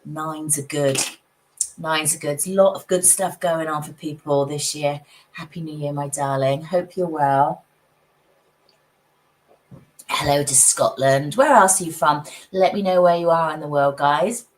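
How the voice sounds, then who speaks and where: A woman who is husky from a cold, chatting casually with viewers as a psychic livestream host in her home office. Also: low-pitched, measured pace, rough recording. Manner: chatty, warm greetings, frequent little laughs.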